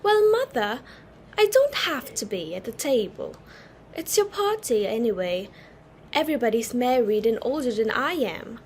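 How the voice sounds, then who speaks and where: A woman's voice: fairly high pitch, very animated, moderate pace, a little hiss or hum. A woman playing a timid, reluctant young society daughter in a play reading, with a plaintive, dutiful manner.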